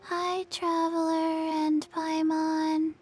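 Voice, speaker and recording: A woman voicing a small, placid undead girl in a video game, deadpan and speaking in short simple sentences. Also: very high voice, drawn-out words, heavily acted. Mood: placid, blank. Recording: faint music behind the voice.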